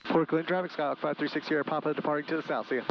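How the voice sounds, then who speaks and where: A male pilot speaks through a muffled headset with faint engine noise behind him. His voice sits a little high for a man, and he talks in clipped aviation phraseology, reading out callsigns and cockpit checks. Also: steady tone, very fast, vocal fry. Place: inside a small propeller plane cockpit. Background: light aircraft engine noise.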